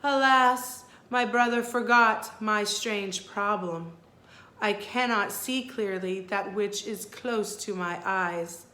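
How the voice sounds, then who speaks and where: A woman's voice: mid-range voice, slow, sharp tone, echoey room. A woman voicing a sad, regal ancient ghost queen from a children's storybook, speaking in a stately, formal, dramatic way. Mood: regal, wistful.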